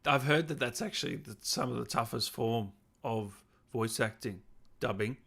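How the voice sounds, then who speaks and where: A man's voice: medium pitch, normal speed, gravelly, a bit bright, slightly rough. A man hosting a casual interview, chatting and relaying questions from viewers. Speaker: host, interviewer. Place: remote video call.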